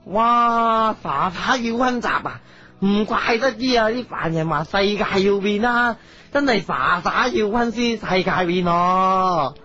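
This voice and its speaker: A woman's voice: medium pitch, measured pace, sharp tone. A woman voicing a fussy, rambling old wizard king with a Hong Kong Cantonese accent in a radio comedy drama. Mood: teasing, grumbling. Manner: rambling, hesitant, exclamatory. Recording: slightly dull audio over music.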